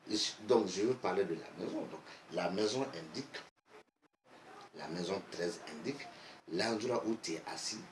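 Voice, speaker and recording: Male instructor, West African accent, Bambara native; lowish voice, deliberate, repeats for emphasis, faint noise behind. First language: Bambara (Bamanankan). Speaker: geomancy teacher, online video host. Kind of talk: instructional explanation. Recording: echoey room, faint background noise.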